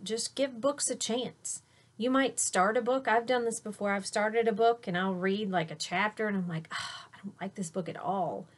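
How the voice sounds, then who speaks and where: A woman reading a children's picture book aloud like a teacher, with dramatic delivery and chatty asides to young listeners. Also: fairly high pitch.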